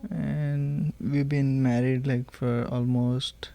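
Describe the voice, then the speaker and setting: A man's voice: mid-range voice, flat delivery, slow pace, creaky, warm tone. Easygoing young man. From India. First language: Telugu. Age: young adult. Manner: easygoing, heavy use of "like" fillers. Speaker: guest, married student. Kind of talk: casual podcast chat.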